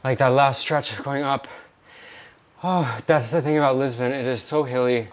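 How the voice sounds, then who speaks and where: A man's voice: medium pitch, moderate pace, no echo. A man chatting casually and rambling a bit as he vlogs his day. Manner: chatty, rambling, self-talking. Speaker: vlogger. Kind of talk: casual vlog chat.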